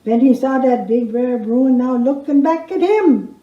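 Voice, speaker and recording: Female storyteller, dark mellow voice, emphatic folksy verse recitation, roomy stage sound. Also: high-pitched, lively intonation, a little slow. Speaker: storyteller reciting a comic poem. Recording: roomy echo, dull sound.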